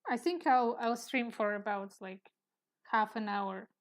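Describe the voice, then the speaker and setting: A woman with a soft, sleepy voice, chatting hesitantly while she draws on a live stream. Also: a little high. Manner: hesitant, halting, with small laughs. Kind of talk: casual chat while sketching.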